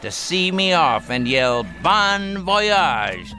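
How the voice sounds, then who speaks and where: A man's voice: high voice, big pitch swings, pushed and bright, faint music behind, exaggerated voice. A man acting in a radio drama as a bitter, domineering, dying rich old grandfather who talks in a theatrical, mocking way. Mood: bitter, commanding. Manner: theatrical, mocking, drawn-out delivery.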